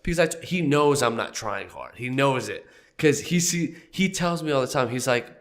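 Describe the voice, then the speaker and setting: A man's voice: medium pitch, expressive, normal speed. A young man hosting a casual podcast, congested and a little nasal from a cold, joking loosely and coughing now and then. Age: young adult. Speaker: podcast host.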